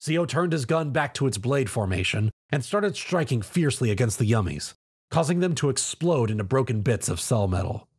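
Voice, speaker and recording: Animated male story narrator. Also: mid-range voice, moderate pace, husky.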